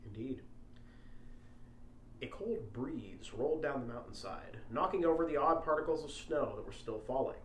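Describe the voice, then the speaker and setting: A man's voice: medium pitch, moderate pace. A man co-hosting a read-along, reading narrative prose aloud and chatting casually, picked up by a small lapel microphone. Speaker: co-host of a read-along show. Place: echoey room. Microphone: small lapel microphone shared by the group. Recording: roomy echo, faint noise, small lapel mic.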